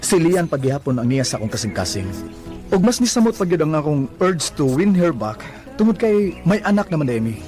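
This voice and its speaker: A man's voice: fairly high pitch, very animated, fairly quick, a little mellow, faint music behind. An actor with a Cebuano Filipino accent playing an anguished, insistent man who demands the truth from his former girlfriend in a radio drama. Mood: resolved, longing. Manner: insistent, emotional. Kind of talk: Bisaya radio drama acting, dialogue and first-person narration.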